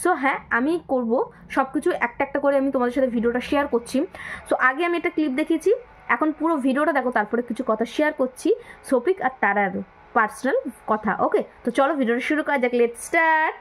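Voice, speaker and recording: A woman's voice: high voice, fairly quick, poor audio. A woman hosting a casual commentary video, talking straight to viewers, repeating words for emphasis and mixing in English phrases. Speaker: video host commenting on viral clips.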